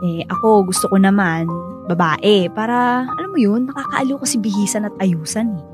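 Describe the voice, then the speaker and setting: A playful, doting young Filipino wife in a radio drama, played by a woman. Her pitch is average for a woman, and music plays clearly behind her. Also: moderate pace, natural voice. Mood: happy, hopeful.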